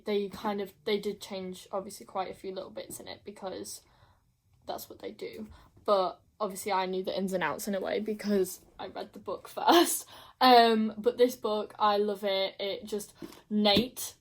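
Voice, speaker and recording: Young woman doing a casual book chat, gushy and emphatic, says stuff twice, normal pitch. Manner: gushing, emphatic, repeats herself. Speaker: book review vlogger.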